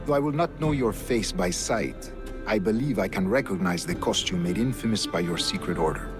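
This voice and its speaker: A man playing a dignified colonial governor with a Spanish accent, reading a formal letter as a game voice-over in measured, courteous tones. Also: on the low side, over music, natural voice. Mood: stately, inviting.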